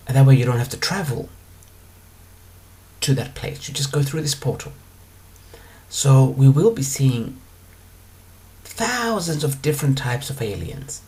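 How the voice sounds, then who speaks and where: A man talking alone as a spiritual teacher, explaining his ideas in a calm, unhurried way with drawn-out pauses. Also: medium pitch. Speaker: spiritual teacher answering questions. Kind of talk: monologue lecture.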